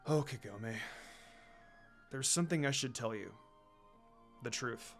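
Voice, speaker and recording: A tender middle-aged father, played by a man reading aloud. He sounds soft and remorseful. His words come gently and heavily. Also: medium pitch, measured pace, gravelly, soft background music, pitched below the performer's own voice.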